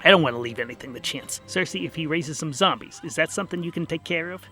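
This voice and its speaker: A man's voice: on the high side, fast talker, sharp tone, soft background music. A man voicing a fed-up, fierce creature war leader in a fantasy story, blunt, emphatic and sardonic. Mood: anxious, commanding.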